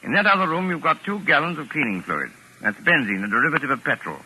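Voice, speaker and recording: An urbane, sardonic doctor in an old radio drama. His middle-pitched voice is very bright and husky, with lively swings as he coolly reasons. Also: fast talker, heavily acted, poor audio. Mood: calculating, persuasive. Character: wry, cool-headed male doctor held among gangsters. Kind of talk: 1940s radio acting. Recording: old 1940s radio broadcast recording.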